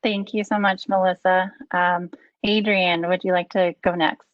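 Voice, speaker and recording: A woman moderating a webinar panel over a video call, introducing guests with frequent ums and pauses. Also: on the low side, level tone, no echo, dull audio. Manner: hesitant, many ums. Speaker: program director, panel moderator.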